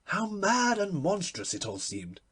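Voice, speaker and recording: A man reading literary fiction as an audiobook narrator, expressive and dramatic. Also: sits high, a little slow, husky.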